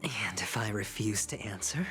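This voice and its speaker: A man's voice: lowish voice, normal speed, a bit bright, natural voice. An actor playing a suave male gambler in a video game, courteous and controlled while staying guarded under pressure. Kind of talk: dramatic video game voice acting. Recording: long reverb, game music underneath.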